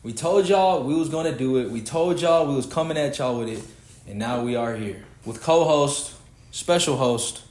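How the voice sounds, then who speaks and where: A man's voice: medium pitch, moderate pace, a little mellow. Preachy young Texan man in an echoey room. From Houston, Texas. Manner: preachy, emphatic, swearing freely. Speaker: podcast host. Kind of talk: casual podcast rant.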